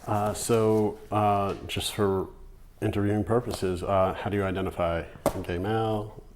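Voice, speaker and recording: A man interviewing a guest for an oral history project, asking gentle, hesitant questions. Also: lowish voice, flat delivery, measured pace, background noise. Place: community center room.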